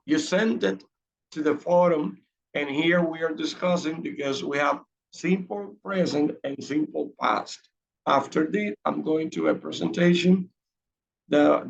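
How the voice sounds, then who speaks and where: A man leads an online English class, his speech slow and deliberate with a gravelly edge. He is patient and repeats his questions to draw students out. The call audio sounds a little dull and muffled. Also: fairly high pitch. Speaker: English teacher.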